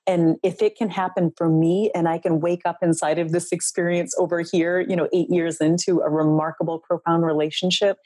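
Soft-spoken earnest woman, dark dry voice. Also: fairly low pitch, flat delivery, a little fast, hardly any pauses, dry recording, low-quality recording. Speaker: guest, relationship coach. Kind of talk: heartfelt podcast interview.

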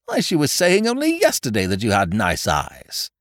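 A man's voice: medium pitch, huge pitch swings, normal speed, fairly bright tone, dry recording, studio quality, voice pushed up. A man voicing a breezy, overfamiliar male scrounger in a story, effusive and cajoling with old-fashioned slangy chumminess. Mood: astonished, coaxing. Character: breezy male scrounger, wheedling, overfamiliar, falsely hearty chum.

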